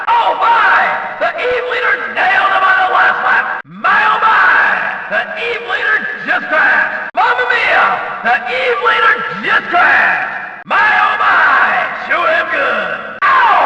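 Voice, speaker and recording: An actor playing a rowdy, sarcastic race announcer in a video game, calling hits in excited, mocking, exclamatory sports commentary. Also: male voice, very high voice, very echoey, long reverb tail, far from the mic, muffled recording, exaggerated voice.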